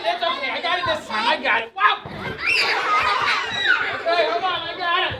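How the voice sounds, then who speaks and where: A man's voice: very high voice, animated, moderate pace, distant mic, a loud crowd, pitched above the performer's own voice. A man voicing a clumsy little boy penguin in a storybook, bumbling and exclamatory, discouraged but persistent. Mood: flustered, determined. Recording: noisy, echoey room with clicks.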